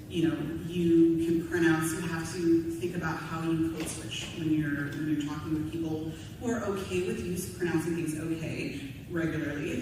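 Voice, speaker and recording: A woman speaks candidly as a panelist in a big echoey hall, some way from the mic. Clear noise sits behind her informal, halting delivery, which is full of asides. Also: low voice, distant mic. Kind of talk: panel discussion, candid personal commentary. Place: convention panel room.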